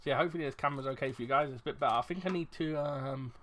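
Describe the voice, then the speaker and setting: A man's voice: mid-range voice, very fast, a bit bright, slight background noise. A man chatting casually in his own vlog, talking in a rambling, self-deprecating way with many restarts as he thinks aloud. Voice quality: plain, unremarkable voice. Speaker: YouTuber reviewing ration packs. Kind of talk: casual unscripted vlog chat.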